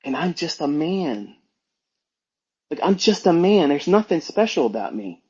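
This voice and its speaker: Male educator, informal monologue. Husky, emphatic, admonishing delivery with repeated phrases, on a dull lo-fi recording. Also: a little high, big pitch swings, unhurried, darkish tone. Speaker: trading educator hosting an online audio talk. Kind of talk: informal unscripted monologue, lecturing.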